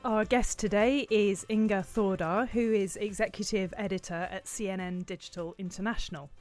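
A woman's voice: medium pitch, steady tone, moderate pace, darkish tone, soft background music. A woman co-hosting a marketing podcast, introducing her guest and asking polite, welcoming questions. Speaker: podcast co-host. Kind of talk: interview questions.

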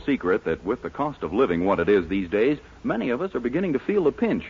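A man's voice: medium pitch, big pitch swings, quick pace, slightly rough, soft background music. A man announcing and narrating a 1940s radio show in a showy, polished, emphatic scripted style. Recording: dull, lo-fi 1940s radio recording.

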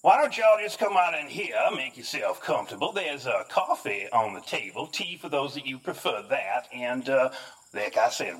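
A man voicing a folksy, hospitable but ominous Southern man, with a husky drawl and a rambling manner. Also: medium pitch, fairly bright tone, low-quality recording.

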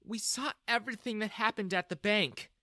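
Man voicing a polite, high-voiced apologetic mother. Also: sing-song, unhurried, bright, forceful tone, no echo, studio quality, exaggerated voice. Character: gentle, apologetic middle-aged mother, unhappy wife.

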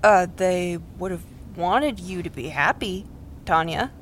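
A woman's voice: on the low side, a little slow, slight background noise, lightly acted. A woman playing a wry, practical, supportive best friend in her thirties, in naturalistic audio drama dialogue. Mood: gentle, encouraging. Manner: casual, wry, encouraging.

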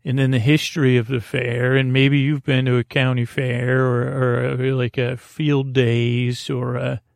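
A man hosting a bedtime podcast, talking close to the mic in a meandering, hesitant, reassuring and gently goofy monologue. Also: mid-range voice, little pitch movement, unhurried, gravelly, no echo. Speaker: podcast host. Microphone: close to the microphone.

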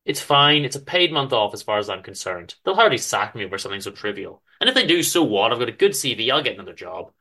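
A man's voice: mid-range voice, very animated, fast, few pauses, sharp tone, pitched below the performer's own voice, exaggerated voice. A man voicing a gloomy, principled, rebellious office worker in a dramatic story reading, earnest and wordy. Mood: breezy, defiant.

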